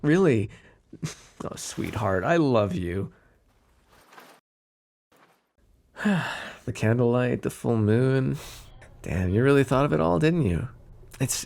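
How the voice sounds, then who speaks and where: A man's voice: medium pitch, gravelly. A man playing a cocky, teasing mafia boss with a theatrical, flirty drawl in an ASMR roleplay. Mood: sweet, lovey-dovey.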